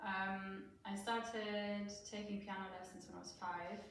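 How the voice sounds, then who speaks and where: A chatty young woman from southern Germany talks about herself in a relaxed interview. She is heard from some distance in a bare, echoing classroom. Faint room ambience hums underneath. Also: mid-range voice, a little slow, gravelly, a little hiss or hum. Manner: chatty, laughing, many fillers. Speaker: singer, guest. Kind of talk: casual interview chat. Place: school classroom, indoors. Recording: echoey classroom, far from mic.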